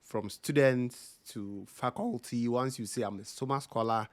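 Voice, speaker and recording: Dry-sounding interview host, mid-pitched man. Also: measured pace, dry recording. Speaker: podcast host. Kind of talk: interview conversation.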